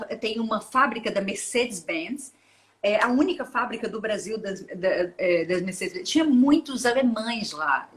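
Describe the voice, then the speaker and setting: A woman in her forties with a Minas Gerais Brazilian accent, a swimmer chatting as an interview guest, rambling with restarts and laughing often. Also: a little high. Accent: Minas Gerais Brazilian Portuguese. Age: 40s. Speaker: guest, open-water ultramarathon swimmer. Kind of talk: casual interview chat.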